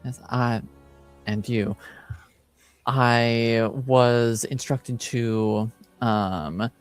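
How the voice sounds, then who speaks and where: A man plays a young elven servant girl in a fantasy tabletop game. The delivery is deferential and softly formal, very slow and full of hesitation, with faint music underneath. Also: medium pitch, steady tone, smooth. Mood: polite, hesitant.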